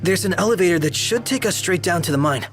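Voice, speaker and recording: A man's voice: sits high, animated, fast, over music. An actor playing a light-hearted, slightly nervous young man in a video game, casual and boyish in manner. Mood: casual, upbeat.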